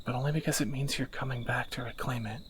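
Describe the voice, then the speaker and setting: A man's voice: mid-range voice, fairly even intonation, fast, background noise, close to an everyday voice. A man playing a tender, wry young thief in a romantic audio roleplay, teasing and intimate, often dropping toward a whisper. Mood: teasing, then uneasy. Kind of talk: romantic audio roleplay, one side of a dialogue.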